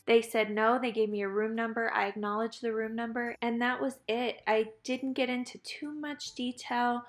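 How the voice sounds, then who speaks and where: A woman with a fairly high voice gives a casual instructional talk. She is chatty and encouraging, explaining in plain words like the host of a training channel. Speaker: EMS educator and channel host. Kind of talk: casual instructional talk with a demonstration radio report.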